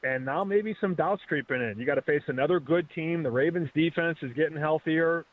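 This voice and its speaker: A man in his thirties calling in as a betting guest, talking fast and casually, often restarting his words. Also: fairly high pitch. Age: 30s. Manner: quick, restarts words, chatty. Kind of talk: casual sports betting chat. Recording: phone line.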